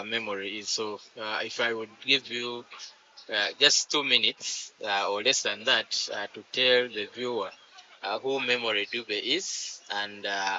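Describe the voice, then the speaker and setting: Bright-voiced male host, hesitant. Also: on the low side, dull audio. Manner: slow, many "uh" fillers. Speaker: show host. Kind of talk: talk show hosting.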